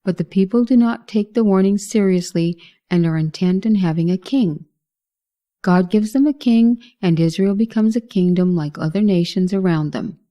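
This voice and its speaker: Mellow female Bible-lesson narrator. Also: medium pitch, moderate pace.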